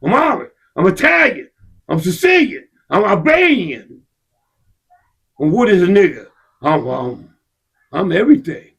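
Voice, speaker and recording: A man in heated casual discussion, his husky voice sitting high. He is impassioned and profane. His voice climbs to shouts when he presses a point. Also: big pitch swings, a little slow, long pauses. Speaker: podcast co-host.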